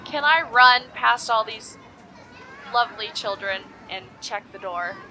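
A woman's voice: fairly high pitch, unhurried, heavy background noise. A woman playing a tabletop game, improvising casually in character.

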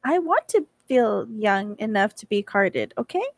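A woman's voice: mid-range voice, big pitch swings, a little slow, gravelly, dry recording. Teasing, giggly woman in her twenties. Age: 20s. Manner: teasing, giggly, asks the questions. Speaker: podcast co-host. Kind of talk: casual chat.

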